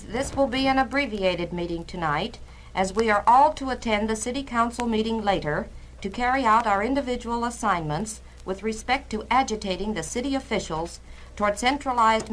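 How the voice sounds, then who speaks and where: A woman's voice: a little high, fairly bright tone. Actress as a formal, businesslike party chairwoman. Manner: formal, stiff, orderly. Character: businesslike female Communist cell leader chairing meeting. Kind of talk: 1960s dramatized formal speech. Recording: noisy vintage spoken-word LP.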